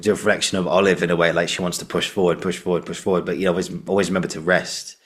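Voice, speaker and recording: A man's voice: low voice, little pitch movement, racing. A British man hosting a podcast, chatting casually and encouragingly, repeating phrases for emphasis. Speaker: podcast host. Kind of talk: casual interview chat.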